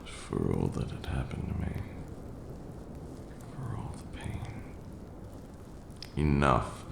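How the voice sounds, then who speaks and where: A man's voice: wildly swooping pitch, takes it slowly, echoey room, heavy background noise, heavily acted. A man playing an ancient, proud and protective vampire lord in an ASMR roleplay, speaking formally and dramatically. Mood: stern, softening. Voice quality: very deep, gravelly, breathy at times.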